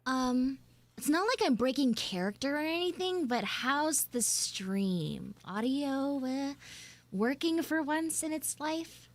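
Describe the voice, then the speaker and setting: A woman live streaming an audio roleplay as a bossy, secretive tsundere schoolgirl, halting and teasing, slipping into hushed asides. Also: high-pitched, very animated. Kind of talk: live in-character audio roleplay with chat banter.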